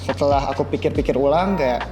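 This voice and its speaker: A man's voice: medium pitch, brisk. Young man chatting over background music. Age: young adult. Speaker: podcast guest, university student. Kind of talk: casual chat. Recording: music playing under the voice.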